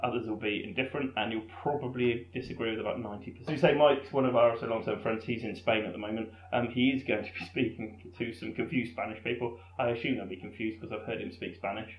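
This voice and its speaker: A man's voice: lowish voice, fairly even intonation, quick pace, hardly any pauses. A man in his thirties hosting a casual podcast from his front room, joking and teasing his friends. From England. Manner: jokey, teasing. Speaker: podcast host. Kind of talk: casual chat. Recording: roomy, dull lo-fi home recording.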